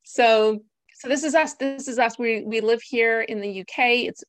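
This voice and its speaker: A woman's voice: on the high side, normal speed, dry recording. A woman in her thirties with an American accent, a podcast guest who talks in an upbeat, chatty way, often tagging on "right?" and "like". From United States. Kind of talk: podcast interview.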